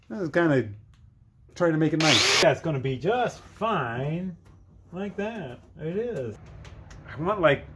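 A middle-aged man giving a casual hands-on DIY demonstration, halting and thinking aloud as he works. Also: fairly high pitch, a little hiss or hum. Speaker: DIY video maker. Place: cluttered workshop with dirt floor.

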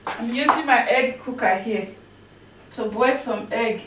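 Lady chatting while she cooks at home, bit high voice, sounds far off from the cam. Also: measured pace, a bit bright. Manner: chatty, talks while chopping and cooking. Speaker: cooking vlogger. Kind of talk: casual cooking narration. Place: home kitchen, indoors. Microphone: camera mic from across the kitchen. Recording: echoey kitchen, far from mic, background noise.

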